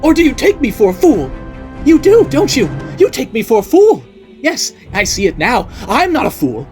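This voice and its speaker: A woman voicing an unhinged, jealous middle-aged noblewoman who rants and accuses in a shrill voice. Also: very animated, moderate pace, a little mellow, soft background music. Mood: ranting. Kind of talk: audiobook character voice.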